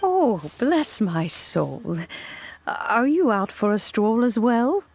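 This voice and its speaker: A woman playing a serene, kindly elderly master in a video game cutscene, speaking gently in genteel, old-fashioned phrases. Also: fairly high pitch, very animated, slow, soft background music, full character voice. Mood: pleasantly surprised.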